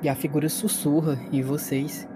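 A man's voice: on the high side, little pitch movement, moderate pace, heavy background noise. A man narrating a horror tabletop game dramatically as game master.

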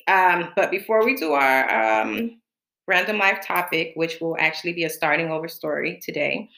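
Bright-voiced woman in an echoey room. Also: mid-range voice. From born in the Bahamas, raised in Florida. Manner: colloquial and chatty, drawn-out and deliberate when affirming. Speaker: podcast host. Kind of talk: casual monologue with spoken affirmations.

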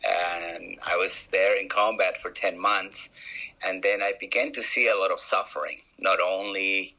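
A man in his forties from Peru with a Peruvian accent, a guest telling his life story in an interview, with frequent pauses and restarts. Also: sits low, fairly even intonation, bright, forceful tone, rough recording. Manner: hesitant, many restarts and uh fillers.

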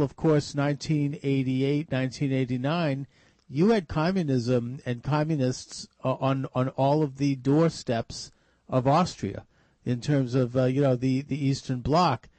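A man's voice: mid-range voice, warm tone, dry recording. A man hosting a radio talk show, asking long questions with many restarts and fillers. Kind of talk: radio interview.

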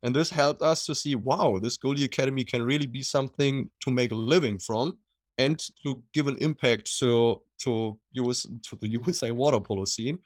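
A man's voice: medium pitch, moderate pace, no echo, studio quality. A middle-aged man with a German accent, a water polo coach talking in a casual interview, hesitant with many fillers and restarts.

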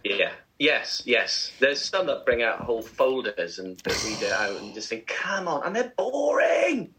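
Playful male co-host with a bright, lively voice. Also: mid-range voice, a little slow, slight background noise. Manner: playful, laughs often, animated. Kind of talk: casual radio chat.

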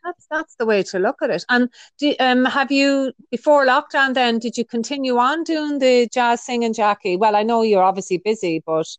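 A woman hosts a friendly interview in a fairly high voice. She reacts eagerly and keeps encouraging her guest. The recording is dry and close. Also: normal speed, hardly any pauses, dry recording. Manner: enthusiastic, encouraging, exclaims often. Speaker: interview host. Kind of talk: casual interview chat.

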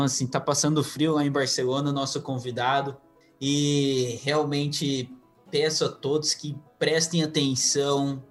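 A man with a Brazilian accent hosting a podcast in an informal, chatty, conversational way. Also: on the high side. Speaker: podcast host. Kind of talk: conversational podcast introduction and interviewing.

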